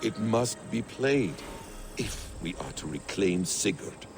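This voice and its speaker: Gravelly, silky scheming assassin. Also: male voice, fairly low pitch, expressive, takes it slowly, music in the background. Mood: wry, persuasive. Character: shrewd, silky schemer and assassin, male, philosophical and watchful. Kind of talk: video game voice acting.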